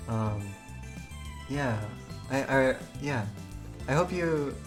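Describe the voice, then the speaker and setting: Guy livestreaming a game read-through, kinda stammery and hesitant, casual, normal pitched voice, music going in the back. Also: expressive, drawn-out words. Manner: hesitant, stammering with repeated words. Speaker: live streamer reading a visual novel aloud. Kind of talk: casual live stream chat and read-aloud.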